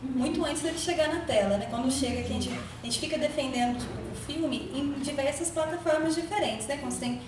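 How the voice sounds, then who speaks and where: A woman's voice: sits high, fast talker. A woman from Minas Gerais with a Brazilian accent, a filmmaker talking through her process in a loose, rambling panel talk full of fillers. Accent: Brazilian Portuguese, Minas Gerais. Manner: rambling, laughing, fillers like "né" and "sabe". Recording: echoey room, distant mic, noise.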